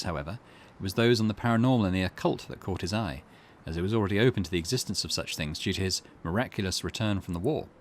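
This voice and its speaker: A man hosting a game playthrough, reading the on-screen text aloud and thinking out loud with small hesitations. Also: on the low side, a little hiss or hum. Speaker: gaming channel host.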